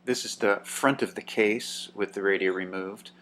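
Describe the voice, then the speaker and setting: Man, low register, relaxed hobbyist walking viewers through an old radio, lots of "uh", faint hum under the track. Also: normal speed. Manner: relaxed, with frequent "uh" and "um". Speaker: vintage radio collector. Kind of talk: casual show-and-tell narration.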